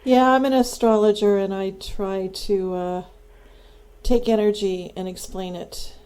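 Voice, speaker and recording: A woman's voice: medium pitch, steady tone, measured pace, warm tone, clear, resonant tone. American woman radio host with a darker tone. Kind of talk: conversational interviewing.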